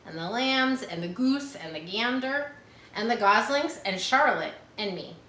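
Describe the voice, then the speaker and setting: A woman's voice: on the high side, expressive, unhurried, bright, forceful tone, echoey room, pitched above the performer's own voice, rough recording. A woman reading the part of an earnest, chatty young farm girl in a storybook, eager and matter-of-fact.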